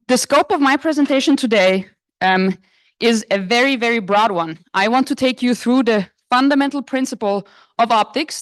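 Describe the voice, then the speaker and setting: A young woman from Croatia, a postdoc, giving a conference lecture in a relaxed, casual manner with frequent fillers. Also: mid-range voice, clean tone, no echo. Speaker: astronomy lecturer. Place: lecture hall.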